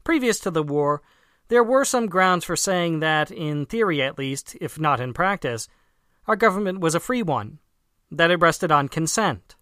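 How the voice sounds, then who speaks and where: A narrator reading a formal political essay aloud in a measured, emphatic way. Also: medium pitch, lively intonation, fairly bright tone, no echo, clean studio sound. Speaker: audiobook reader.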